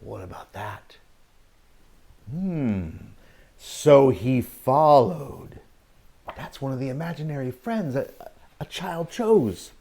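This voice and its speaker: Animated man reading a slow-paced children's story. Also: medium pitch. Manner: expressive, drawn-out story lines with exclamations and questions to listeners. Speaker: teacher reading a picture book to young children. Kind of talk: animated read-aloud storytelling with asides to children.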